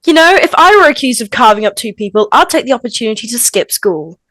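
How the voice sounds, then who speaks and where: Woman playing teenage best friend, sharp-tongued, protective, high-pitched, animated, dry close sound, table read. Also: quick pace, no room sound. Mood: sarcastic. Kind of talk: amateur screenplay table read.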